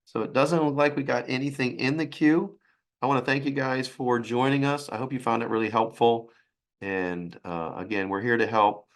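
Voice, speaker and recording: A man with a raspy, hoarse voice from a sinus infection, presenting a financial webinar in a halting way. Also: mid-range voice, steady tone. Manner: halting, with restarts and fillers.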